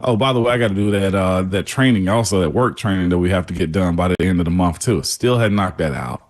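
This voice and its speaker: A man's voice: low voice, fast talker, darkish tone. A man in his forties co-hosting a sports radio show from home, laid-back and laughing often. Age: 40s. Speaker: co-host. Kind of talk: casual sports radio chat. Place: at home, remote.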